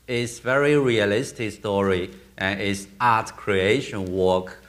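A man in his thirties with a Burmese accent answers questions on a theater stage. He speaks slowly and haltingly, in a fairly low voice, and often restarts his sentences. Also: a bit bright. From Myanmar. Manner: halting, searching for words, with frequent restarts. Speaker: film director.

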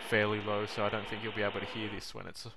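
A man's voice: fairly low pitch, little pitch movement, fast, creaky. A young man giving an informal, self-deprecating how-to narration. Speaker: vlogger demonstrating how to make coffee. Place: small home kitchen, indoors. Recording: noisy kitchen recording with clicks and clatter.

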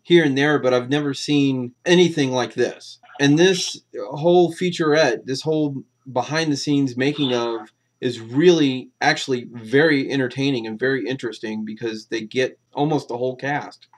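A man co-hosting a casual movie review, talking in a relaxed way with halting restarts. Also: medium pitch. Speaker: movie review show co-host.

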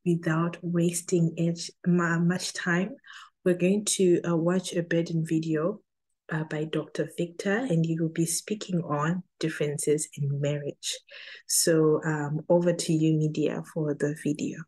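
A woman with a Zimbabwean accent hosts an online event as MC. Her voice is low and mellow, with very even, flat intonation. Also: measured pace. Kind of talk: informal hosting of an online event.

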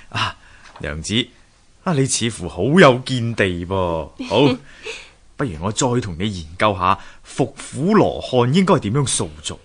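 An actor playing an earnest, thoughtful sculptor husband in a Hong Kong Cantonese radio drama, musing aloud theatrically. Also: male voice, on the low side, very animated, unhurried, fairly bright tone, breathy edge, full character voice. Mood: animated, pleased.

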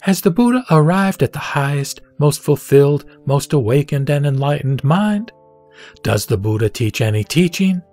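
A man playing the Buddha, a solemn spiritual teacher, reciting scripture in a measured audio drama delivery. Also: on the high side, big pitch swings, darkish tone, faint music behind. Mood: solemn, instructive. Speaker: scripture reader.

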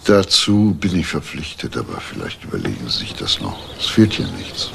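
An actor playing a calm, persistent police detective in a crime drama, speaking in a matter-of-fact, official way. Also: male voice, a bass voice, normal speed, vocal fry, noisy background, exaggerated voice. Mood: firm, insistent. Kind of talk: German TV crime drama acting.

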